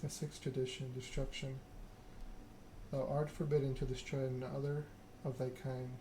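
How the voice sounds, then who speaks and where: A soft-spoken male game streamer talking in an ASMR style, measured and a little halting. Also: medium pitch, little pitch movement, a little hiss or hum, low-quality recording.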